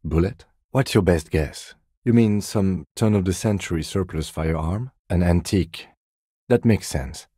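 An actor playing a composed, dry-witted, by-the-book middle-aged police detective in a video game, speaking in a measured, precise, deadpan way. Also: male voice, low-pitched, slightly rough, no echo. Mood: measured, serious. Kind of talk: video game character dialogue.

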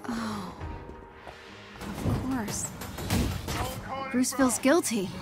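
Teenage superhero girl, high voice, earnest, plucky, video game dialogue, music behind. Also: drawn-out words. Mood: curious, concerned. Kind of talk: naturalistic video game acting.

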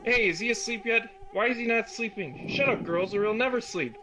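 A man's voice: high voice, brisk, noisy background, close to an everyday voice. A man voicing a swarm of pesky mosquito girls who needle and mock impatiently. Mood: taunting.

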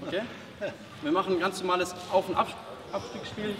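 Young coach explaining drills in a gym. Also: male voice, high-pitched, steady tone, brisk, noisy background. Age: young adult. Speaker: table tennis trainer and channel host. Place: large sports hall. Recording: roomy hall audio from a distance.

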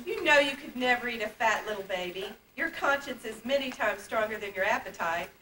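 A woman's voice: fairly high pitch, expressive, a little fast, lightly acted. Lady playing sweet reassuring young princess, puppet show, roomy sound, hissy old tape. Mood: amused, firm. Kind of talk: amateur stage puppet play. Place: indoor puppet stage, far camera. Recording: distant, echoey, noisy old camcorder tape.

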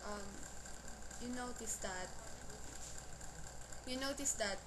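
Young Filipino-accented woman, casual webcam vlog, chatty with pauses for words, noisy built-in mic. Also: fairly high pitch. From Philippines. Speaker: vlogger. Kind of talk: casual webcam talk to camera. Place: small room indoors. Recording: webcam audio with clear background noise.

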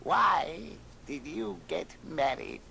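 An actor playing a scornful, cold-hearted old miser, curt and grumbling, in old radio drama style. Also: male voice, on the high side, animated, takes it slowly, gravelly, shouting, a loud crowd, exaggerated voice. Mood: irritated. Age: older. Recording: noisy old record transfer.

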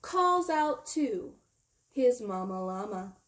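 Young woman doing storytime for kids, really slow, slightly high voice, stretches out words, explains things simply. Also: sing-song, a bit bright. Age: young adult. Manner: slow, deliberate, drawn-out key words. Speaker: teacher reading a children's storytime. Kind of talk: expressive picture-book read-aloud with simple explanations for children.

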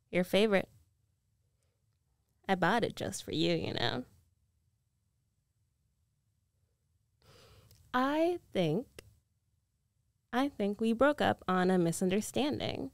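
A woman acts out a rich, domineering ex-girlfriend in a girlfriend ASMR roleplay. She speaks slowly and teasingly, sure of herself and possessive, in a very dry, close-sounding recording. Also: mid-range voice, gravelly, darkish tone, no room sound. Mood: coy, coaxing. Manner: slow, coaxing, self-assured, teasing.

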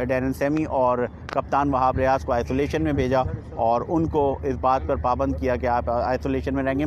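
Man in his 30s, field reporter, halting with filler pauses, handheld mic, outdoors, faint background noise. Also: mid-range voice, highs cut off. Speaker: sports reporter. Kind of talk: live street report and interview. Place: outdoors by a stadium.

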